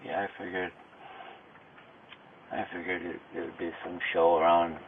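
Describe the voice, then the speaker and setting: A man under arrest talking casually with police in a halting way, often repeating his phrases. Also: deep voice, little pitch movement. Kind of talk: casual conversation during an arrest. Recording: noisy, tinny police body-camera or vehicle audio.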